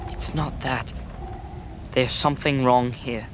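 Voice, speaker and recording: A man's voice: medium pitch, steady tone, slow pace, background noise, natural voice. A male performer acting a frightened young boy in a period audio drama, timid, anxious and hesitant. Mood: anxious pleading.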